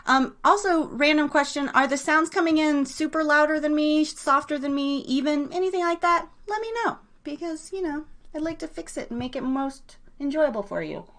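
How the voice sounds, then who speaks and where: A woman with an excitable, informal manner, chatting casually as the live host of a guessing game and cheering on players in slangy language. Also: high-pitched, moderate pace. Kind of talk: casual live radio game-show chat.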